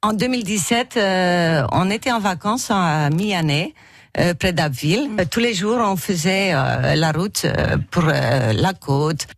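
A woman in her sixties from Flemish Belgium, speaking French with a Flemish accent as a second language, chatting as a radio guest and searching for words with many fillers and pauses. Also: fairly low pitch, no echo, clean studio sound. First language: Dutch. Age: 60s. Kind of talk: radio interview chat.

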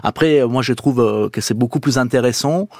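A man's voice: medium pitch, moderate pace, dry recording, clean studio sound. A man from southern Italy, a guest researcher on a radio panel who talks thoughtfully and restarts his phrases often. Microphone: studio microphone.

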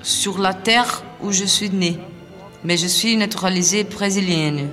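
A woman reading interview answers aloud at a live public reading, calm and matter-of-fact. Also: mid-range voice, a little slow, faint music behind. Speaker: reader performing an interview. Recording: long reverb from a live hall.

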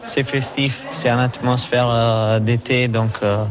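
Italian male jockey, monotone, brief hesitant answers. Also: medium pitch, takes it slowly, a crowd in the background. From Italy.

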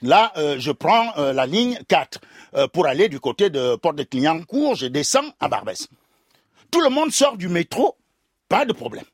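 An older man spins a comic tale for a radio host. His voice sits high and leaps all over its range with theatrical flair. The sound is close and dry. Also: moderate pace, no room sound. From Cameroon. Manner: theatrical, animated, addresses the host directly. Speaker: guest storyteller. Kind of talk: comic oral storytelling.

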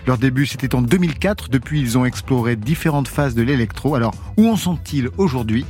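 Radio host guy in the studio, smooth scripted intros, bit of music under him. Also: mid-range voice, animated, fairly quick, darkish tone. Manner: smooth scripted announcing with lifts on names. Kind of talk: radio show presenting. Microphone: studio microphone.

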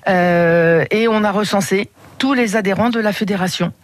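A middle-aged woman from the Paris region answering radio interview questions in a measured way, with frequent hesitations. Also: medium pitch. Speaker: head of a regional riding committee, radio guest.

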